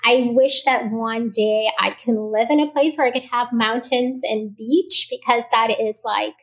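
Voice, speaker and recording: A woman's voice: a little high, fairly even intonation, a little slow, rough recording. A woman doctor from Puerto Rico whose first language is Spanish, speaking earnestly as a podcast guest, often tagging "right?" and using fillers. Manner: earnest, warm-hearted, with many fillers and "right?" tags. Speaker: physician guest. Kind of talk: podcast interview.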